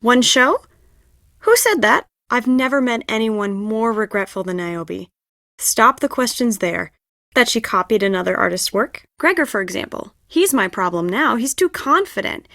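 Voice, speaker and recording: A woman voicing a stressed, dramatic young stage director in an adventure game, expressive and confiding. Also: a little high, no room sound, studio quality. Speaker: video game voice actor. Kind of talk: adventure game dialogue acting.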